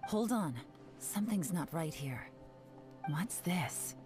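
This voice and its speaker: Watchful agent, somewhat low voice, composed, video game acting, faint music. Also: female voice, animated, takes it slowly. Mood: wary, composed.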